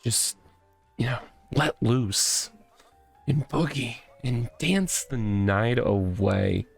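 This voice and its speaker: A proud, rambling corporate mascot in a cardboard box, acted out by a man reading a visual novel. The delivery is slow and halting, earnest yet boastful, with plenty of gravel in it. Music plays behind the voice. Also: medium pitch, full character voice. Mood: earnest, wistful. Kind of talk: comedic character read-aloud of a visual novel.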